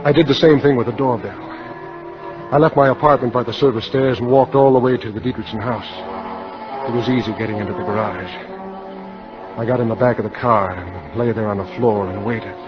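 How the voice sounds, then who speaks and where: A man's voice: mid-range voice, a little fast, close to an everyday voice. An American man acting a hard-boiled insurance salesman confessing to murder in a terse, matter-of-fact 1950s radio style. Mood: grim, confessional. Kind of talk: 1950s radio drama acting, first-person noir narration. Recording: dull old radio broadcast, loud music behind.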